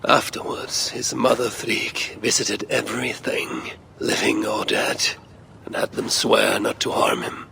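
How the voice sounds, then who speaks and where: A man playing a brooding Viking warrior in a video game, grave and measured, with a gravelly voice that turns breathy when quiet. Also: lowish voice, sing-song, a little hiss or hum, exaggerated voice. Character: brooding male Viking warrior haunted by visions. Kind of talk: dramatic video game cutscene acting.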